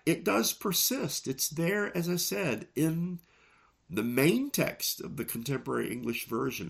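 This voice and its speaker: A man hosting a solo podcast on Bible texts, his voice gravelly from a recent illness, speaking in an earnest, measured way with emphasis on key words. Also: medium pitch, a bit bright. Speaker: podcast host and preacher.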